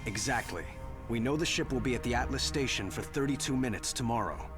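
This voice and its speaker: An actor playing a proud, composed prince in a video game, formal and measured in manner. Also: male voice, medium pitch, lively intonation, over music, natural voice. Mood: earnest briefing.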